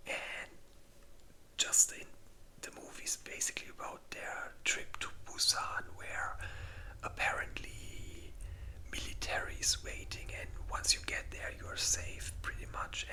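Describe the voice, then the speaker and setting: An ASMR host speaking entirely in a breathy whisper, chatting casually and informally with rambling, hesitant pauses. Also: bright, forceful tone, low-quality recording. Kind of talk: whispered ASMR movie ranking, casual chat.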